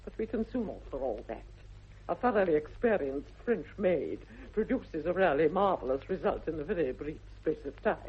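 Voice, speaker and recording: An actress playing a haughty older aristocratic English aunt, grand and emphatic, in a 1960s radio comedy. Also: female voice, deep voice, sing-song, normal speed, breathy edge, exaggerated voice. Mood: haughty, appraising. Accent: upper-class English. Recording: muffled, tinny vintage radio recording.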